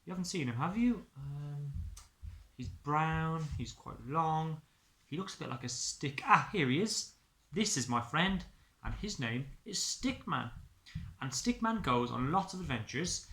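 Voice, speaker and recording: A man's voice: mid-range voice, vocal fry, a bit bright, reverberant. A man, an early years teacher, telling a picture-book story to young children expressively and explaining it simply.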